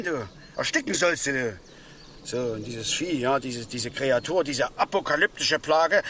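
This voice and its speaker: An actor playing a middle-aged father and obsessive angler, grumbling, lecturing and excitable, in a naturalistic radio drama. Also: high-pitched, a little hiss or hum.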